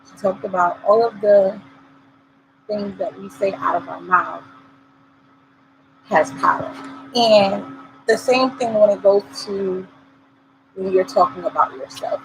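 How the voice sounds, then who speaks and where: A hoarse woman, fighting off a cold, talks earnestly to her listeners. She encourages them and repeats her main points for emphasis. The recording is made at home, with clear noise behind her. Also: medium pitch. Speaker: host. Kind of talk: motivational talk show monologue.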